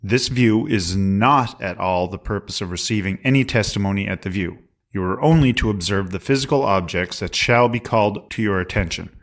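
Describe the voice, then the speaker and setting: Male actor as presiding judge, low pitch, formal, authoritative, stern, period courtroom drama. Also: normal speed, natural voice. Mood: grave, instructing. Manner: formal, instructing.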